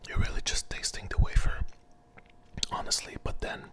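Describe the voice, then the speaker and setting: A person whispering an ASMR candy review close to the microphone, hesitant and often repeating phrases. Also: normal speed.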